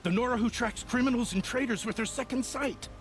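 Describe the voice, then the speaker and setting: A man's voice: extremely high pitch, a little fast, soft background music. An actor playing an anxious, proud nobleman in a video game, speaking in a formal, dramatic, earnest way. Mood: desperate pleading. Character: anxious, proud nobleman pleading over family honor. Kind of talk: video game character acting.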